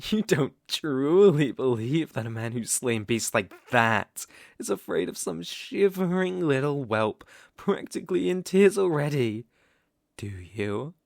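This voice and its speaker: Man playing a slow-spoken, seductive British vampire lord. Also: on the high side, lively intonation, creaky, no echo, clean studio sound, exaggerated voice. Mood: proud, mocking. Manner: slow, teasing, ornate condescending speech. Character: seductive, domineering aristocratic male vampire lord. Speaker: ASMR roleplay performer. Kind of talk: ASMR roleplay, intimate monologue.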